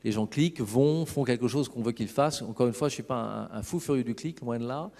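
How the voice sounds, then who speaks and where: A man giving a semi-improvised, halting and discursive explanation as an executive at a conference. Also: mid-range voice, gravelly, dark tone. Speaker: business executive presenting a case study. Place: conference hall on stage. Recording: reverberant hall sound.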